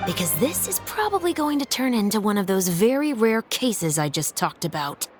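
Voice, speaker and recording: A woman voicing a composed, dutiful female officer in a video game, speaking in a dry, formal, measured way. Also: medium pitch, very animated, natural voice. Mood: wry. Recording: music behind the voice.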